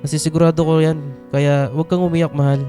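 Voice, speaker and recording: An actor playing a caring, reassuring young husband in a radio drama, speaking with gentle concern. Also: male voice, fairly high pitch, level tone, fast talker, soft background music, natural voice.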